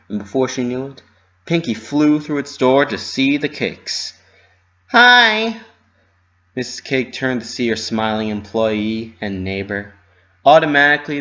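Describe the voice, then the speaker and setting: A man with a mid-pitched voice narrates a story in a dramatic reading style. The sound is a little dull and lo-fi, like a home recording. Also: a bit bright.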